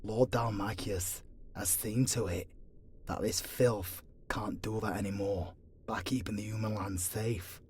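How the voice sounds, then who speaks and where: A man's voice: fairly low pitch, steady tone, unhurried, darkish tone, a little hiss or hum, natural voice. A man playing a dutiful, prejudiced angel dungeon guard with a formal, sanctimonious manner, in a fantasy audio roleplay. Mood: scornful, pious.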